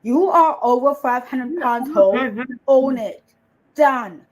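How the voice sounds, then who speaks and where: A woman's voice: high-pitched, lively intonation, slow. A woman in a played clip delivering a blunt, profane, insulting rant. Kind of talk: confrontational rant.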